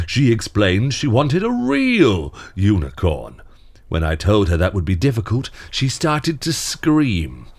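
An actor playing a jaded, fed-up Santa Claus in an audio comedy drama, with a dry, grumbling, world-weary delivery. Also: male voice, on the low side. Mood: exasperated.

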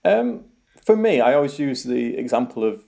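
A man's voice: medium pitch, very animated, normal speed, gravelly, warm tone. A young man with a Yorkshire accent, a researcher answering interview questions in a hesitant way, full of ums and repeated words. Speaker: robotics researcher guest.

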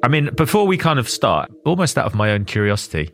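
A man with a southern English accent, narrating a documentary podcast and interviewing a guest in a measured, friendly way. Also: mid-range voice, sing-song, fairly bright tone, faint music behind.

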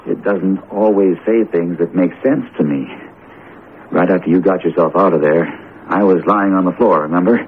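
Earnest, low-voiced man in old radio. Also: moderate pace. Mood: uneasy, confiding. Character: jumpy, determined male teacher confronting a cursed statue. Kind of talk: vintage radio drama acting. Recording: tinny, narrowband old radio broadcast, noisy.

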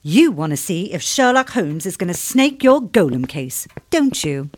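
A woman's voice: on the low side, big pitch swings, moderate pace. A woman playing a sardonic, exasperated Victorian wife, blunt and sarcastic, in a comedy audio drama. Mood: suspicious.

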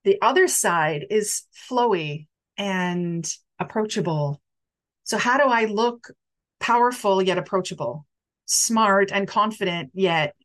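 Chatty animated woman on a live stream. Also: fairly low pitch. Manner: animated, chatty, reads out viewer comments. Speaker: coach hosting a live stream. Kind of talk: casual live-stream talk to viewers.

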